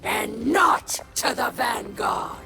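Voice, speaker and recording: Gravelly, defiant alien warlord queen. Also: a little high, expressive, slow pace, heavily acted. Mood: defiant, threatening. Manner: haughty, menacing declamation. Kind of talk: dramatic video game acting. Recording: very loud music behind.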